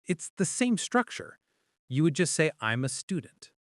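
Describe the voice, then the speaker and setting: A man's voice: a little high, big pitch swings, moderate pace, studio quality. Male co-host for an English lesson, explanatory and curious, varied melody, no room echo. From Canada. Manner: inquisitive, explanatory. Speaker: podcast co-host. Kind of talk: scripted conversational English lesson.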